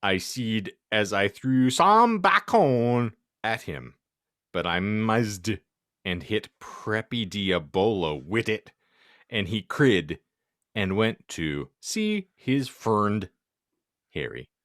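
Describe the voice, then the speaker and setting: A man's voice: on the low side, sing-song, takes it slowly, fairly bright tone, no room sound, studio quality. A man hosting a podcast, reading fan fiction theatrically for comedy and exaggerating oddly spelled words. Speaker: podcast host and narrator.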